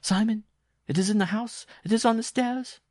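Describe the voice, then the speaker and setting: A man reading a story, voicing an exhausted, frightened circus strongwoman in a raised, pleading voice with a gravelly, husky edge. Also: fairly high pitch, moderate pace, darkish tone, no room sound, clean studio sound, pitched above the performer's own voice, heavily acted. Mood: terrified. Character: worn-out desperate circus strongwoman, young adult.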